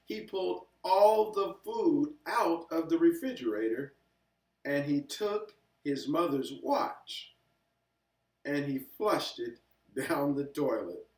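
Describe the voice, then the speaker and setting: A man hosting and telling a story in an earnest, deliberate way, with pauses and repeated phrases. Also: fairly high pitch, sing-song, slightly rough. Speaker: host and storyteller. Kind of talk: earnest address and read-aloud storytelling. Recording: echoey, distant microphone.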